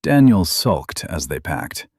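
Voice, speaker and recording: A man's voice: sits low, big pitch swings, slow pace, dry recording, studio quality. A man reading scripted fantasy narration as an audiobook narrator.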